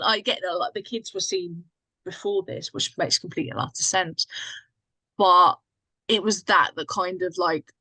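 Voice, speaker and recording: Emphatic low-pitched woman, dry sound. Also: sharp tone, no room sound, poor audio. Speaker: podcast guest. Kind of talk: casual opinionated chat.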